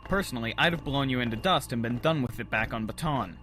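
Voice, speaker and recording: A sharp, forceful male actor's voice as a hostile smuggler's henchman in a sci-fi comic dub. He is gruff and taunting, at a medium pitch, with faint music behind him. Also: fast, lightly acted. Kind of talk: scripted comic dub acting.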